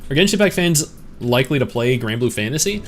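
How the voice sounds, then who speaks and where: A man's voice: mid-range voice, animated, fast talker. Chatty man streamer answering chat. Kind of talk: casual chat reading live chat.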